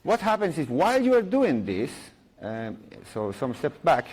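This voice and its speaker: A middle-aged man speaks into a stage mic at a conference, his voice sitting a little high for a man. He talks in English, cracks jokes and restarts sentences as he walks the room through a technical idea. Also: wildly swooping pitch, normal speed, darkish tone, breathy edge. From Chile. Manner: playful, jokey, frequent fillers and restarts. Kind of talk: live technical talk with audience questions.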